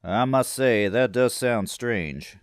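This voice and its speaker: An actor playing a pious, composed prison preacher in a horror audio drama, measured and gently formal as he asks his questions. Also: mid-range voice, smooth, dry recording, clean studio sound, natural voice. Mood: curious.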